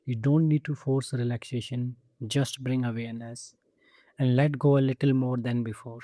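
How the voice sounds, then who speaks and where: A man guides a relaxation meditation in a very warm, dark, relaxed voice at a medium pitch. His delivery is soothing and gentle, with space left between short instructions. The sound is dry and intimate. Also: moderate pace, no room sound. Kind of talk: guided body scan meditation.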